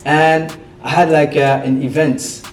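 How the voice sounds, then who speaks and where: A man's voice: fairly high pitch, level tone, unhurried, roomy sound, recorded from a distance, faint music behind. Belgian man whose first language is French, off-mic. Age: 20s. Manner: searches for words, many restarts and fillers. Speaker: guest. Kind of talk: casual interview.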